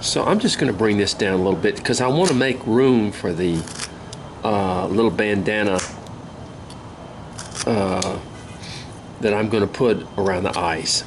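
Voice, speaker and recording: Hoarse older man teaching whittling. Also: on the low side. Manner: easygoing, folksy, unhurried, with many pauses. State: hoarse from a cold. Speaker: woodcarving instructor. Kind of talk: casual step-by-step demonstration. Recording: steady outdoor ambient noise.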